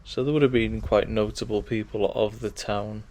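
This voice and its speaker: A man's voice: fairly low pitch, fairly even intonation, moderate pace, darkish tone. A man walking through an old churchyard, reading gravestones aloud in a casual, musing way while losing his voice. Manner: musing, reads gravestones aloud, talks to himself. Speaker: vlogger touring a graveyard. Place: outdoor churchyard.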